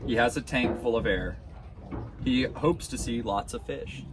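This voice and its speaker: A man reading a children's storybook aloud outdoors in an expressive way, with simple phrasing for young children. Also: a little slow. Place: outdoors at a coastal snorkel reef. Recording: noisy outdoor recording with ambient rumble.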